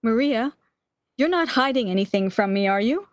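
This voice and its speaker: Woman acting fretful bedridden woman, high-voiced. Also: expressive, moderate pace, no room sound, highs cut off, close to an everyday voice. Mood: suspicious, distressed. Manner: fretful, pleading, questioning. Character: bedridden, anxious, regretful sick woman longing for lost love. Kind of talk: radio play acting.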